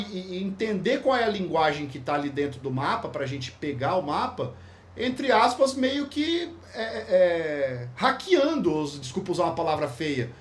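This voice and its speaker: A Brazilian man with a high voice leads a casual spoken lesson, full of slang and restarts as he explains. A faint hiss of noise sits under his voice. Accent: Brazilian Portuguese. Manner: informal, slangy, rambling with restarts. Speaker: course instructor.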